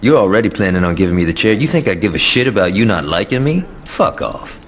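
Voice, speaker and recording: Man as cocky gangster, low voice, lively swooping delivery, brash, irreverent, foul-mouthed, game cutscene. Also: fast, slight background noise, lightly acted. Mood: defiant, insolent. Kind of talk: video game cutscene acting.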